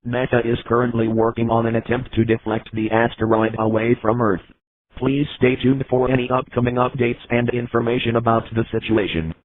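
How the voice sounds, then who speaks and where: A man's voice: medium pitch, flat delivery, a little fast, dry recording, narrow, tinny audio. A man reading a scripted emergency broadcast announcement as an alert announcer. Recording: very noisy broadcast audio.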